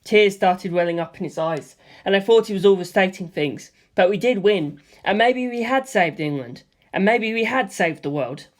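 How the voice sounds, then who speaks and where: A reader giving a casual, lively first-person audiobook narration with offhand asides. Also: mid-range voice, normal speed, hardly any pauses.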